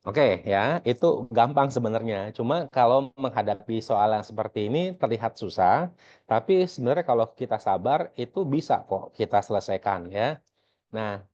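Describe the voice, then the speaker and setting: A man's voice: medium pitch, a little mellow. An Indonesian man lecturing conversationally through a worked exercise, often asking questions and answering them himself. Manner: conversational, asks and answers own questions, frequent check-in tags. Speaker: accounting lecturer. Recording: dull, lo-fi voice recording.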